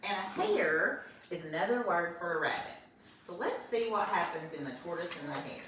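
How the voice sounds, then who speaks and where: An older woman, a first grade teacher, reading a storybook aloud to children with expressive asides, filmed at home on a phone. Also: fairly low pitch, normal speed, a little hiss or hum. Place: home, with a small grandchild nearby. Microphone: phone camera held by her son. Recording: echoey room, voice from a distance.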